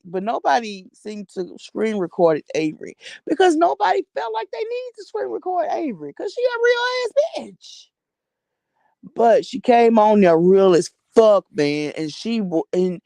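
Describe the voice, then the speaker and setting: Gravelly American woman, blunt gossip talk. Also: mid-range voice, darkish tone, no room sound. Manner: blunt, slangy, profane; emphatic, repeats phrases for effect. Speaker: vlogger commenting on social media personalities. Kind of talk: casual gossip commentary.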